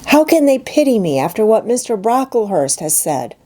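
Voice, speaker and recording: A woman's voice: fairly high pitch, big pitch swings, moderate pace, mellow, voice pushed up. A woman voicing a passionate, despairing orphan schoolgirl in a storybook reading, impassioned and pleading. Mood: hurt, distressed. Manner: impassioned, pleading, self-pitying. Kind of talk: dramatised audiobook reading.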